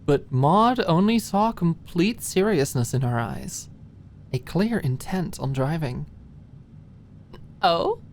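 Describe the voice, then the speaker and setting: A woman's voice: low voice, animated, unhurried, a little mellow, slight background noise. A woman reading scripted story narration for an ASMR-style audiobook.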